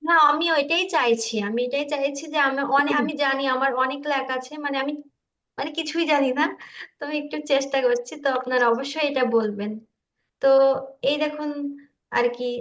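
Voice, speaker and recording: A woman giving an informal online tutorial in a hesitant, self-deprecating way, sounding nervous. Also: fairly bright tone. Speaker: student presenter demonstrating video upload. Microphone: online video call. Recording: muffled low-fidelity online meeting audio.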